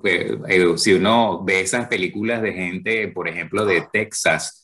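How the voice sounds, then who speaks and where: A man from Venezuela interviewing a guest, asking long questions in a halting, hesitant way. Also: low voice, steady tone, fairly bright tone. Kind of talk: conversational interview.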